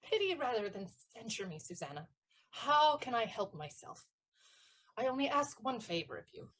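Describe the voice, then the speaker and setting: Woman as a husky lovesick page boy. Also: on the high side, very animated, moderate pace, fairly bright tone. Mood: pleading. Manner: ardent, florid, insistent. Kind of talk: theatrical period-comedy stage acting.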